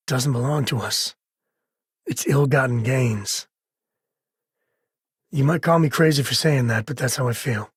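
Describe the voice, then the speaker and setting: A man acts out a rugged spacefaring ranger and protective father for a video game. His voice is very husky and gravel-edged, sitting at an average male pitch. He talks in a folksy, wry, conversational way, easygoing but loyal, in a close, dry recording. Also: no room sound, natural voice. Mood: grave, earnest. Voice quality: husky, raspy. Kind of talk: scripted game dialogue, conversational acting.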